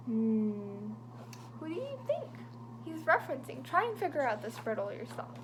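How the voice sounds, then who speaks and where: Animated, fairly high-voiced woman reading aloud. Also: noisy background. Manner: animated, drops to whispers for suspense; chatty asides to young listeners. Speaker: librarian reading to children. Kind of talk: reading a picture book aloud.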